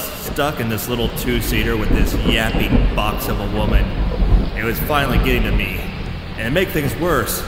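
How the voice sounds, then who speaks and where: World-weary male noir narrator, played by an actor: exasperated, melodramatic, lowish voice in long reverb over music. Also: very noisy, natural voice. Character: exasperated hard-boiled male pulp hero narrating inwardly.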